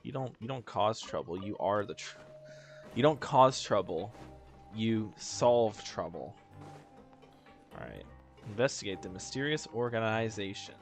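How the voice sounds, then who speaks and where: A man's voice: on the low side, lively intonation, a little slow, pauses often. A man giving casual, slangy commentary on a video game and reading its text aloud with joking asides. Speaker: game streamer. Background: faint game music.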